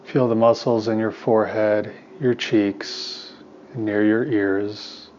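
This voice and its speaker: A man leading a guided meditation as a teacher, speaking in a calm, unhurried, soothing way with long pauses. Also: fairly low pitch, dull audio, slight background noise.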